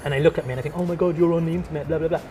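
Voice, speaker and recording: A man's voice: a little high, rapid-fire, a little hiss or hum. Chatty man, easygoing. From London. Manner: relaxed and chatty, full of fillers and restarts. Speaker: artist and art teacher. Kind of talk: casual interview chat.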